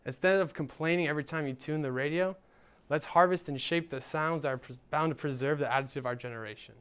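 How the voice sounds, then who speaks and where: A man's voice: a little high, fast talker, fairly bright tone, clean tone. A young man hosting a student show, reading upbeat scripted links and interviewing a guest. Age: young adult. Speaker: student host and presenter.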